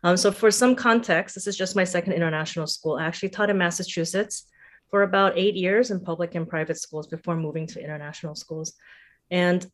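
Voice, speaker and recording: A woman's voice: fairly low pitch, steady tone, brisk. A middle-aged woman from Singapore and Thailand, an educator speaking as a podcast guest in a thoughtful, conversational way. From Singapore and Thailand, born in South Korea. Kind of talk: conversational interview.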